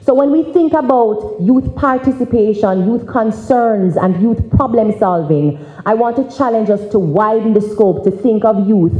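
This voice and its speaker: A young woman with a Jamaican accent delivers a public speech in a roomy, echoing space. Her voice is fairly high and soft-edged, with deliberate, emphatic phrasing. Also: dull audio. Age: young adult. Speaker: youth organisation president, speaker. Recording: roomy, echoing conference hall.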